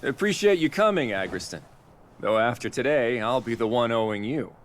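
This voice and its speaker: A man's voice: medium pitch, expressive, normal speed, slight background noise, close to an everyday voice. A man playing a courteous, confident warrior leader in a video game cutscene. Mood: courteous, commanding.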